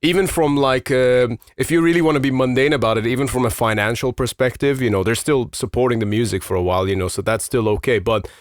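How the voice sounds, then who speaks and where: A man's voice: medium pitch, fairly even intonation, fast talker, hardly any pauses, no echo, clean studio sound. A man hosting a podcast, whose first language is Farsi, talking in a thoughtful, rambling way with many fillers. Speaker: podcast host. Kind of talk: casual conversation and read promo.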